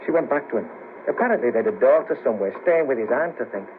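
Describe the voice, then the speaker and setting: A man's voice: medium pitch, animated, quick pace, natural voice. Disillusioned ex band manager played by a man, noisy old tape. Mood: rueful. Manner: hesitant, rueful, clipped phrases. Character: down-on-his-luck ex band manager, disillusioned, easily shocked. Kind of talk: 1970s radio play acting. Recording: noisy muffled cassette of 1970s radio broadcast.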